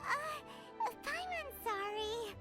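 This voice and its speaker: A woman voicing a tiny, cheeky, childlike fairy companion in an anime-style video game, perky and squeaky. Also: expressive, extremely slow, exaggerated voice. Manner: perky, speaks of self in third person. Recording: game music loud behind voice.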